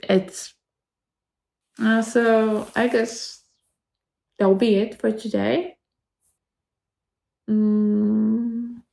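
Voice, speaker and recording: A woman's voice: fairly high pitch, flat delivery, vocal fry, mellow, echoey room. A woman in her twenties with a Polish accent, chatting casually to the camera and wandering from thought to thought with frequent restarts. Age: 20s. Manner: rambling, halting, many restarts. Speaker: vlogger.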